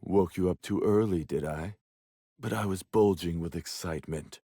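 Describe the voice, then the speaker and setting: An actor playing a sleazy, seductive fallen angel villain in a video game, teasing and theatrical. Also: male voice, deep voice, moderate pace, noisy background. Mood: coy, amused. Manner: drawling, teasing, mock-sweet. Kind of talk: dramatic video game voice acting.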